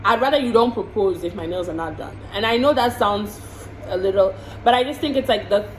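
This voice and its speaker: A woman's voice: mid-range voice, brisk. A woman from the Congo answering get-to-know-me questions in a casual vlog, frank and chatty, often repeating words while she thinks. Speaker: vlogger and hair and makeup artist. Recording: roomy echo with faint background hiss.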